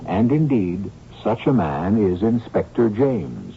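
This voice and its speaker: A man hosting a radio mystery, reading a scripted introduction in a deliberate, theatrical, erudite manner. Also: on the low side, very animated, vocal fry, a little hiss or hum. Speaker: radio drama host. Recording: dull old radio broadcast with music.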